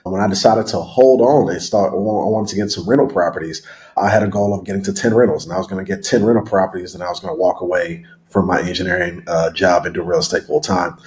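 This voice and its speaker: A man in his thirties who was born in Nigeria and raised in Houston, talking as a podcast guest. He tells his story in a relaxed, rambling way, correcting himself often and filling pauses with filler words. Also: low-pitched. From Nigeria, raised in Houston, Texas. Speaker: guest, real estate investor.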